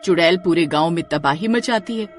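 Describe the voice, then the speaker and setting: A woman narrating a scripted horror story in a dramatic, expressive way. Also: lowish voice, normal speed, loud music behind the voice.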